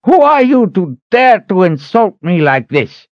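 Furious old male elder, high strained pitch, sputtering threats, fantasy audiobook, slightly dull recording. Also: expressive, dry recording, full character voice. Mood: indignant rage. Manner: indignant, shouting, drawn-out threats. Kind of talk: dramatic audiobook character acting.

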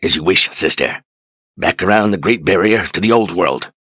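A man playing a gruff, nervous ship captain who shouts and blusters. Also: deep voice, moderate pace, no room sound. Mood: blustering.

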